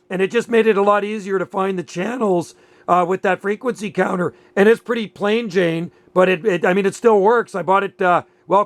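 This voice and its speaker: Mature male radio hobbyist, fairly high pitch, enthusiastic folksy chat over two-way radio. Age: middle-aged or older. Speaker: radio operator.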